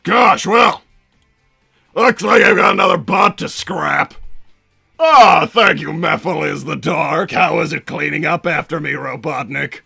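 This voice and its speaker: A man voicing a bombastic middle-aged mad-scientist villain and doting father, with a raspy, gravelly voice and a theatrical, blustering manner, improvising on a livestream. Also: a little high, measured pace, bright, forceful tone, soft background music, exaggerated voice. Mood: blustering, bemused. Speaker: livestream host in character. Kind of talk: improvised in-character livestream Q&A, reading out viewer messages.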